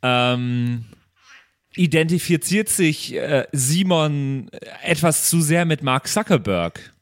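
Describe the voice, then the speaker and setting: A male podcast host with a fairly high voice, chatting casually. He is playful and jokey, as if joking around with friends while addressing listeners. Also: animated, slow pace. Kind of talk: casual chat, listing thank-yous to supporters.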